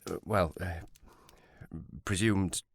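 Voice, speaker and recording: An actor playing a polite, sympathetic police sergeant in a period audio drama, formal, hesitant and apologetic. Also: male voice, low voice, steady tone, slow pace, husky, dry recording, heavily acted. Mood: awkward, apologetic.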